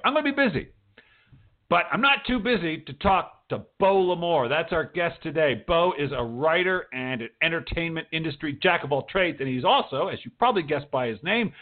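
An American man hosting a talk show in an informal, chatty way, with emphatic asides and repeated phrases. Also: on the high side. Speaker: radio show host and writing teacher. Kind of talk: chatty show introduction and interview. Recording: narrow tinny phone-line sound.

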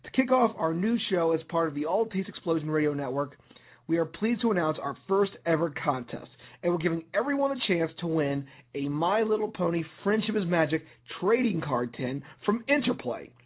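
Hoarse, raspy-voiced man hosting radio. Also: a little high, expressive, fairly quick. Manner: upbeat, promotional, with some fillers. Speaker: radio show host and DJ. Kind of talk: internet radio show hosting. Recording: tinny phone-like line.

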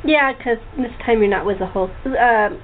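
Over a thin, phone-like connection with faint noise, a woman with a fairly high voice hosts a casual chat show. She speaks haltingly, often stopping and repeating her words as she goes. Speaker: host of a talk show. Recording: muffled tinny phone line with faint noise.

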